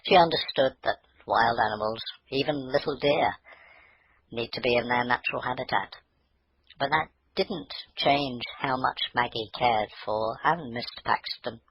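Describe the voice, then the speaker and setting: A man's voice: mid-range voice, a little slow, fairly bright tone, a little hiss or hum, rough recording. A man narrating a scripted children's bedtime story as the storyteller.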